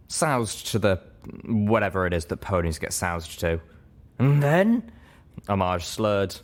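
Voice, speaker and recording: A man narrating a first-person story in a dramatic audiobook style. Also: low-pitched.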